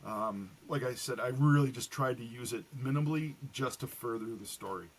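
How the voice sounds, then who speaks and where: A man speaking casually as a guest novelist in a podcast interview, explaining things in a halting, step-by-step way. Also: medium pitch, poor audio.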